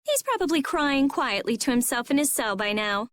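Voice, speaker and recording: A woman's voice: high voice, animated, moderate pace, slight background noise. A woman voicing a blunt, prickly teenage girl mage in a video game, curt, sardonic and bossy. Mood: dry, sardonic. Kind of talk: English video game dub acting.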